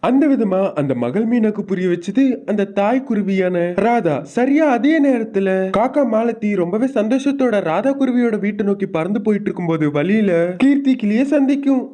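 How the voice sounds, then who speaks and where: High-pitched male story narrator. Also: lively intonation, normal speed, hardly any pauses, mellow. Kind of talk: scripted children's story narration.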